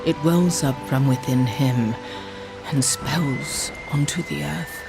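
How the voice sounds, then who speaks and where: An actor playing an ancient, sorrowful shaman of a stone people in a video game, solemn and measured. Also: medium pitch. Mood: sombre, reflective. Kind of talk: video game cutscene acting. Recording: clear music behind the voice. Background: game score.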